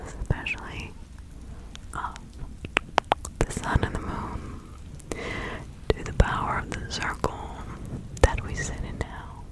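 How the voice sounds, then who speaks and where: Woman playing a whispering, unhurried witch healer. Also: rough recording. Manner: slow, halting, reassuring, ritual phrasing. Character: reassuring 17th-century witch, a woman counselling an accused client. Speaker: ASMR role-play performer. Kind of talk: whispered ASMR role-play.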